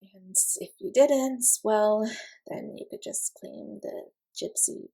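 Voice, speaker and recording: A woman's voice: mid-range voice, slow, gravelly, mellow, rough recording. A woman playing an awkward, sarcastic young friend with secret feelings in a roleplay audio, speaking casually, hesitantly and with self-deprecating wit. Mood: sheepish, wry. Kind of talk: scripted intimate roleplay audio, casual confession.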